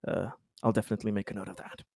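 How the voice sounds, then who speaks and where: A man speaking haltingly and hesitantly, groping for words as he plays out dialogue in a tabletop roleplaying game. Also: fairly low pitch, flat delivery, vocal fry, a little mellow, no room sound, studio quality.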